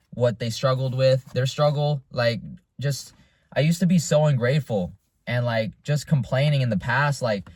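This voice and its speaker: A man's voice: medium pitch, dry recording. Reflective young man talking to camera. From United States. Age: 20s. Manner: reflective, rambling, with frequent restarts and fillers. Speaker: vlogger. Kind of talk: casual unscripted monologue.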